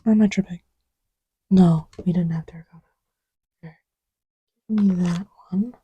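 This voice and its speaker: A woman playing an affectionate, scatterbrained girlfriend in a casual roleplay audio, soft-spoken and often whispery, rambling playfully and self-deprecatingly. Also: lowish voice, fairly even intonation, moderate pace, breathy edge, dry recording. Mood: absorbed, playful. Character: affectionate, scatterbrained girlfriend testing markers on her boyfriend's lap. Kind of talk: casual, improvised-sounding girlfriend roleplay audio with ASMR feel.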